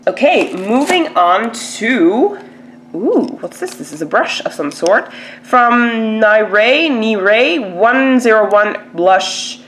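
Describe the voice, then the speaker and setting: A woman's voice: a little high, lively intonation, takes it slowly. A woman with a stuffy nose from a cold, chatting casually to camera as she unboxes a beauty box, with lots of "like" and "um". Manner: chatty, filler-heavy, lots of "like" and "um".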